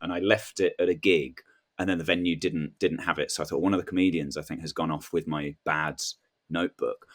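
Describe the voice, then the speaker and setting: A man, a comedian guesting on a podcast, telling rambling, self-deprecating anecdotes in a halting conversational way. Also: a bass voice, steady tone, a bit bright.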